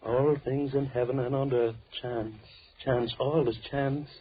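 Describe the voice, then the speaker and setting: An actor playing a deranged, lonely killer who speaks with mock politeness and menace in old radio horror style. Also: male voice, medium pitch, steady tone, breathy edge. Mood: musing, sinister. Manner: theatrical, mock-polite, slow musing monologue. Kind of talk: 1940s radio horror acting. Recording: muffled, tinny old radio recording.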